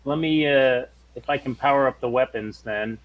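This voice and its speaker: A man's voice: mid-range voice, normal speed, poor audio. A man playing a tabletop game, chatting casually as he works out his moves.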